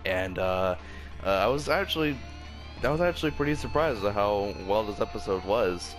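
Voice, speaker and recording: A man's voice: lowish voice, lively intonation, moderate pace, low-quality recording. A man with a sore throat reviewing an anime episode in a casual, rambling way, full of fillers and restarts. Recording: faint background music.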